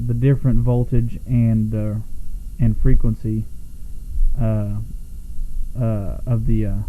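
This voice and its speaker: An American man giving a casual, unscripted product overview in a rambling, self-correcting way. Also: medium pitch. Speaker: product reviewer. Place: small home room, at a desk. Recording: muffled lo-fi camera audio, background noise.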